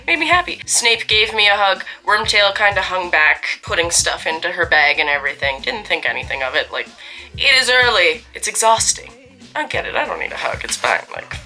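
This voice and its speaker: Chatty, wry young woman, very bright voice. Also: lowish voice, expressive, normal speed, faint music behind, low-quality recording. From Canada. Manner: chatty, wry and self-deprecating. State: getting over a cold. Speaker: vlogger telling a story. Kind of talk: casual story time.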